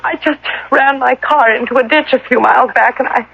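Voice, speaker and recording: An actress playing an anxious, polite young stranded traveler in a radio drama, stammering and breathless in a theatrical way. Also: female voice, high voice, brisk, gravelly, a bit bright, no echo, heavily acted. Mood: relieved, pleading. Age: young adult. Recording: muffled, hissy old radio recording.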